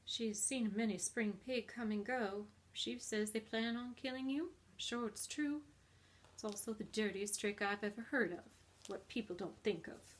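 Storybook female spider, acted by a woman: high voice, composed, protective, briskly firm. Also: lightly acted. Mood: calm, firm.

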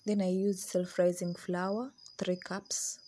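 Young woman, slightly low voice, casual cooking vlog, home kitchen, camera on the counter. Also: level tone, takes it slowly, dark tone, a little hiss or hum. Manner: chatty, switches between English and Swahili. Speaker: cooking vlogger. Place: small home kitchen indoors. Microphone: camera on counter, medium distance.

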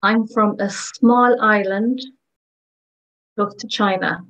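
A woman speaking as a voice-over interpreter, giving first-person testimonies of signers in short, measured phrases. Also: medium pitch, fairly even intonation, a bit bright, poor audio. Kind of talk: voicing first-person testimonies in plain narration.

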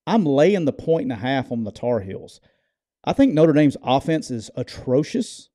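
A man's voice: medium pitch, sing-song, normal speed, dark tone, no room sound, studio quality. Brash joking man on a podcast mic. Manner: brash, jokey, stammering restarts. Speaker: podcast co-host. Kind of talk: casual sports betting chat. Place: home studio with shelves. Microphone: headphones and studio mic.

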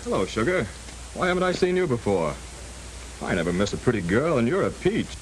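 An actor playing a brash, pushy masher in a 1930s film, on a crackly soundtrack. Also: male voice, mid-range voice, big pitch swings, a little fast, close to an everyday voice. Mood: leering.

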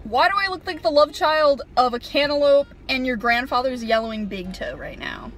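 A woman's voice: high voice, moderate pace. A young woman telling a self-mocking, sarcastic story in a parked car, rambling with lots of ums, recorded by a camera on the dashboard. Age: young adult. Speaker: vlogger. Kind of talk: casual storytime monologue.

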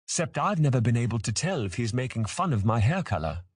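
A man's voice: medium pitch, big pitch swings, normal speed, a little mellow, breathy edge, dry recording, studio quality, voice pushed up. A man voicing a cheerful, naive young boy dragon hatchling in a fantasy audiobook, with a childlike, eager, chirpy manner.

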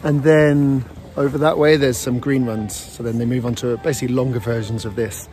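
A man's voice: mid-range voice, fairly even intonation, a little fast, darkish tone, a little hiss or hum. A man talking casually to camera as a ski camp leader, explaining things in an informal, upbeat way. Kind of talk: casual vlog talk to camera. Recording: music behind the voice. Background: music.

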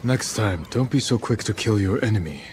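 An actor playing a stoic, disciplined samurai in a video game, measured, serious and commanding. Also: male voice, fairly low pitch, expressive, noisy background, natural voice. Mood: serious, strategic. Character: stoic, disciplined adult male samurai warrior. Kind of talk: video game cutscene acting. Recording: music behind the voice. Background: music.

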